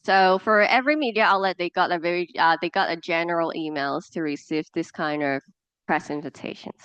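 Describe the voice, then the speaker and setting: Hong Kong woman lecturing, low and smooth. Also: brisk, fairly bright tone, no room sound. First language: Cantonese. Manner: explains with examples, frequent fillers and restarts. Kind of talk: classroom presentation.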